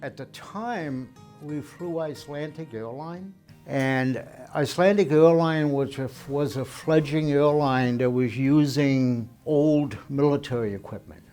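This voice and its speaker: An older man in his seventies, born in Denmark, recounts his past in a slow, conversational way, pausing on fillers as he goes. His voice is dark and mellow. Soft guitar music plays faintly underneath. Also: fairly high pitch. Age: 70s. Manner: unhurried, conversational storytelling with frequent fillers. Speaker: interviewee. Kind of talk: oral history interview.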